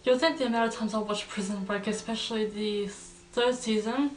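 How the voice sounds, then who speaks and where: A woman's voice: fairly high pitch, steady tone, normal speed. A woman giving casual, chatty and rambling commentary as a reaction video host. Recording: roomy echo, distant mic, faint music.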